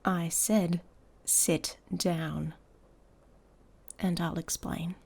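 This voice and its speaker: A woman playing an irritable, sarcastic she-wolf pack heir in an intimate ASMR audio roleplay, soft and breathy, often near a whisper. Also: on the low side. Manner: sardonic, exasperated, drawling with sighs.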